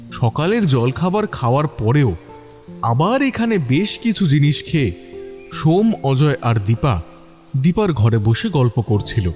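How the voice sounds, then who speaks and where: A man's voice: mid-range voice, mellow. A man reading a scripted dramatic story as its narrator.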